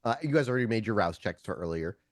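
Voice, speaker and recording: A man's voice: fairly low pitch, animated, quick pace, dry recording. A man narrating a tabletop roleplaying game as storyteller, improvising descriptive scenes and rephrasing as he goes.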